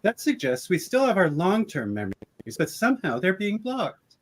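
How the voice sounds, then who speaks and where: A woman's voice: on the low side, big pitch swings, moderate pace. A woman playing an earnest female starship doctor in an amateur comedic radio play. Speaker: podcaster acting in script reenactment.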